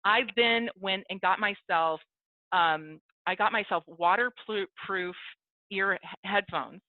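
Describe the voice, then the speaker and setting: A woman's voice: medium pitch, slow pace, dry recording. A woman in her thirties speaking on a video call in a chatty, self-deprecating way, and acting out both sides of a conversation she recounts. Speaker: business networking speaker. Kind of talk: casual motivational talk. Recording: dry, tinny phone-like audio.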